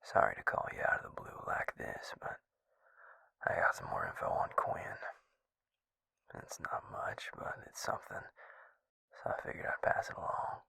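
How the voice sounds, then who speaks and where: A man playing a gruff, caring vampire healer in an intimate roleplay audio, speaking in a hushed, breathy, gravelly murmur, blunt but tender. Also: moderate pace, pauses often, no room sound, in a whisper, natural voice. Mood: subdued, casual. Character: gruff, caring adult male vampire healer, protective and exasperated.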